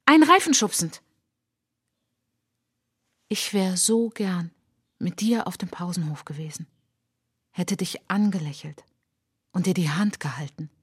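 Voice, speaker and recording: An actress playing a reflective French woman traveller in a radio play monologue, speaking in a measured, intimate, literary way. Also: lowish voice, expressive, a bit bright, slightly rough, full character voice. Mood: wistful.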